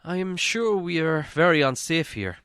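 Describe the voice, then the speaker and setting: A man's voice: a little high, expressive, normal speed, fairly bright tone, clear, resonant tone, dry recording, pitched above the performer's own voice. A man plays a small, worried male hobbit from a storybook. His voice sits raised and fretful, with hesitant, stammering phrases. It is recorded dry and close. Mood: uneasy, foreboding. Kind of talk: storybook character voice in audiobook reading.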